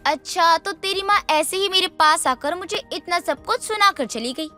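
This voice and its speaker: Woman playing a shrill, haughty young wife. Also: normal speed, bright, forceful tone, soft background music. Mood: scornful, angry. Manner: snappish, contemptuous, bossy. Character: haughty, scornful young wife berating her husband. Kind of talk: Hindi animated story dialogue.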